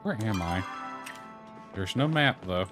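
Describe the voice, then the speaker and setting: A man's voice: low-pitched, animated, slow. A man giving informal gameplay commentary as a streamer, muttering asides to himself as he plays. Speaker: gaming streamer. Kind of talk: casual gameplay commentary, reading game dialogue aloud. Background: music.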